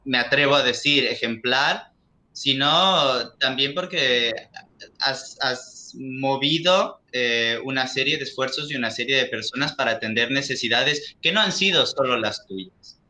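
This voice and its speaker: Male moderator with a very bright, sharp timbre, formal and measured. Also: medium pitch. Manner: slow, measured, formal introductions. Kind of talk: online round table moderation.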